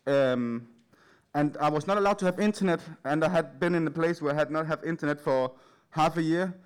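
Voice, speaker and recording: Nervous Danish man, slightly high voice. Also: smooth. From Denmark. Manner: nervous, hesitant, halting, giggly. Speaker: speaker at a live event. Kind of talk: informal anecdotal stage talk. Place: in front of a large live audience.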